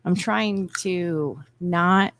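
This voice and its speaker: A woman's voice: fairly low pitch, drawn-out words, fairly bright tone, dry recording. A woman from southern Indiana with a rural country accent, telling stories in casual podcast chat. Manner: laughing, chatty, storytelling.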